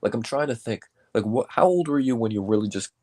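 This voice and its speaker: A man's voice: mid-range voice, expressive, quick pace, a little mellow, no room sound, studio quality. A man of about twenty from Georgia in the US, chatting casually about sports, tentatively working through his thoughts with lots of "like.". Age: around 20.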